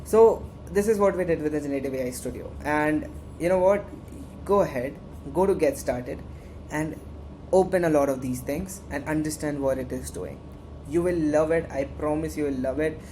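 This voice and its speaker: A man with an Indian accent walks through a coding tutorial in a loose, conversational way, often repeating phrases to drive a point home. The recording carries a clear layer of background noise. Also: on the high side, moderate pace, mellow. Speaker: workshop instructor. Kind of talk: casual screen-share tutorial.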